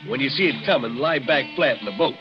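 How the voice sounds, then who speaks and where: Actor as folksy old seafarer, muffled radio. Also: male voice, medium pitch, lively intonation, quick pace, music in the background, natural voice. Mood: jovial advice. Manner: folksy, colloquial, avuncular. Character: folksy, plain-spoken older American ship's man. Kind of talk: 1940s radio mystery acting. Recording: muffled 1940s radio recording.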